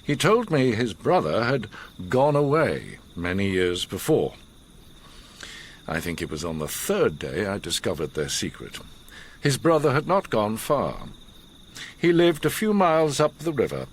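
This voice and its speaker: Older educated gent telling his story for radio drama, really low voice, swings up and down, grave and theatrical. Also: a little hiss or hum. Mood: measured, confiding. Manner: measured, theatrical, dramatic pauses. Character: older learned gentleman recounting his past, intense and grave.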